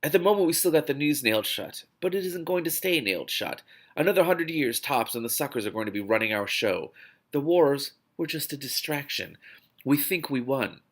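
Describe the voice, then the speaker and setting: A man's voice: fairly high pitch, lively intonation, brisk, voice pushed up. A man voicing a blunt, wisecracking male field agent from a novel, speechifying in emphatic slang. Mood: urgent, frank.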